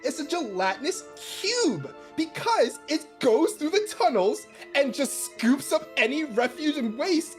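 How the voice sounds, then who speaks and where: Animated podcast co-host chatting about fantasy games. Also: high-pitched, measured pace, hardly any pauses, faint music behind. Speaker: podcast co-host and game master. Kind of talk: casual comedic chat.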